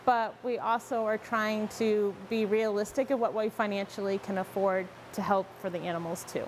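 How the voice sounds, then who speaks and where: A woman's voice: mid-range voice, steady tone, normal speed, a little hiss or hum. Earnest woman rescue worker interviewed. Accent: American. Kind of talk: interview answers.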